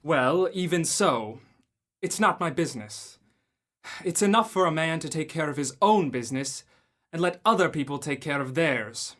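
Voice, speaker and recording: A man's voice: on the high side, expressive, moderate pace, a bit bright. A man playing a stingy, grumbling old male miser in a student radio drama, curt and scornful, barking orders.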